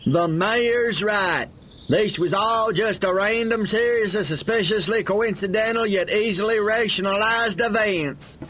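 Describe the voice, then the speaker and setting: Fussy townsman, high, bright and bouncy, faint noise. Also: a little slow.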